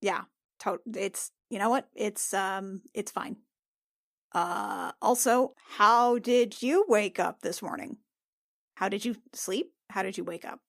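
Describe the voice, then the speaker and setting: A woman playing a flustered, awkward adventurer in a tabletop game, halting, repetitive and over-insistent. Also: mid-range voice, gravelly. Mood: uneasy, hesitant. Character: flustered, awkward young adventurer hiding embarrassment.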